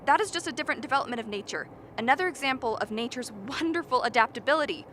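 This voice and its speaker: A woman's voice: sits high, lively intonation, quick pace, a bit bright, slight background noise. A woman playing an earnest, impatient biologist in a radio drama, lecturing and argumentative. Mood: indignant. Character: earnest, impatient expedition biologist defending a frozen creature. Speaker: actor in a radio play.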